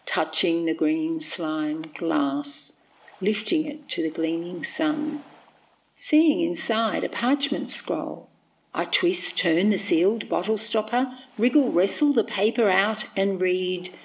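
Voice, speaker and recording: A middle-aged Australian woman, a poet, reading and reflecting on her work in measured, deliberate phrases. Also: on the low side, gravelly, poor audio.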